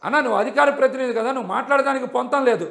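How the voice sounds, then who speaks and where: Man, Andhra Telugu accent, high gravelly voice, fast, combative, repeats questions, live TV debate. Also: fairly bright tone. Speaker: politician on a debate panel.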